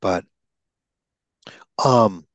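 A man's voice: very slow, no room sound. A man interviewing a guest about stuttering, speaking emphatically and acting out example lines. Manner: emphatic, gives vivid examples and acts out lines. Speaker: interviewer, speech coach who stutters. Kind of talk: coaching conversation.

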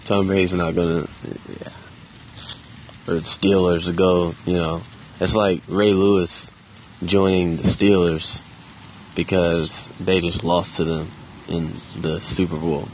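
A man talking to himself in a park in a quiet, subdued, hesitant way, with many restarts and casual swearing. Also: low voice, flat delivery, a little slow, a little hiss or hum, rough recording. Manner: loose and conversational with casual swearing. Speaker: vlogger. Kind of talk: casual solo monologue. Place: outdoors in a park.

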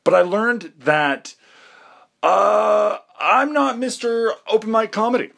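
Slow, hesitant young man. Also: high voice, vocal fry, a bit bright. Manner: hesitant, self-deprecating, many fillers and restarts. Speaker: podcast host. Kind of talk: rambling personal monologue.

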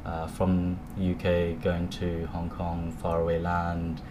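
A man from the United Kingdom hosting a casual podcast chat, friendly and laughing often, with halting restarts. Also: low voice, completely flat delivery, darkish tone, slight background noise. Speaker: podcast host.

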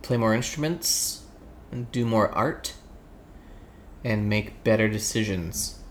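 A man's voice: fairly low pitch, slow, slight background noise. A man with a Canadian accent giving casual, joking and somewhat hesitant answers in an interview. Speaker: podcast guest.

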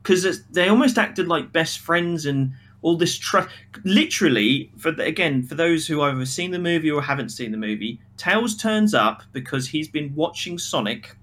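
A man's voice: fairly high pitch, normal speed. A man co-hosting a podcast, giving an opinionated, casual film review in an emphatic way, acting out mock quotes as he argues. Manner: emphatic, animated arguing with acted-out mock quotes.

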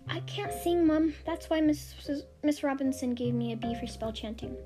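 A woman voicing a shy ten-year-old girl witch who loves baking, speaking earnestly and softly. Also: high-pitched, moderate pace, over music, close to an everyday voice. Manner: earnest, pleading, soft-spoken.

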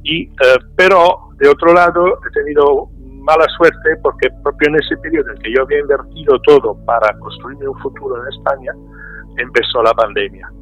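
A middle-aged Italian man speaking Spanish with an Italian accent, talking in a radio interview with frequent pauses and fillers. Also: mid-range voice, fairly bright tone, breathy edge, faint music behind, poor audio. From Italy. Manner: hesitant, many fillers and restarts. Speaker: interview guest.

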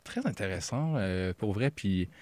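Hesitant Québécois man, low voice. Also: animated, measured pace, a little mellow, no room sound. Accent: Québécois French. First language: French, also speaks English. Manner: hesitant, many fillers and restarts, laughs. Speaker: podcast co-host. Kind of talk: casual podcast chat.

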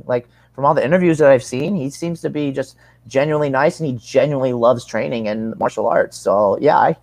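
A man's voice: medium pitch, creaky, a little mellow, no room sound. A young man giving casual interview answers as a combat sports athlete, earnest and rambling, often rephrasing himself.

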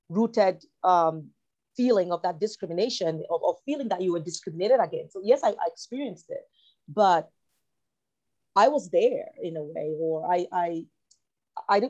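A woman from Cameroon talking reflectively as an interview guest, halting and repeating phrases as she searches for her words. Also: on the low side, mellow, dull audio. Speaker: interview guest, writer and actor.

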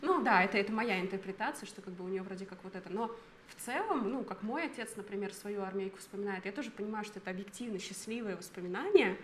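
A woman's voice: medium pitch, expressive, fast, hardly any pauses, a little hiss or hum. A woman of thirty co-hosting a podcast, explaining her thoughts at length in casual chat. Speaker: podcast co-host.

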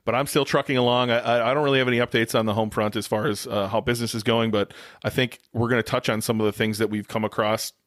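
Male American podcast co-host. Quick, irreverent, teasing delivery, very dry recording. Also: mid-range voice, fairly even intonation, fairly bright tone, no room sound. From United States, Wisconsin. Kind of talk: casual bantering podcast talk.

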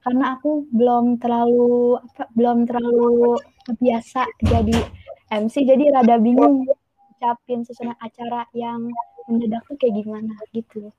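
Fairly high teenage Indonesian girl, dull audio. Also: level tone, moderate pace, dry recording. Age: teenage student. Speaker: student interviewee. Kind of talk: casual anecdotal chat. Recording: muffled lo-fi audio.

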